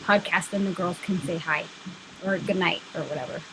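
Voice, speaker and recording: A woman's voice: on the low side, normal speed, fairly bright tone. A woman co-hosting a casual live stream, chatting bluntly with swearing and teasing. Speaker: podcast co-host. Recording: noisy live webcam stream.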